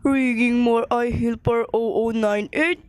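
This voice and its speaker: A woman playing a polite, routine-bound telephone operator with formal, measured phrasing in an amateur radio play. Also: a little high, fairly even intonation, very noisy, voice pushed down, lightly acted. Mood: politely detached.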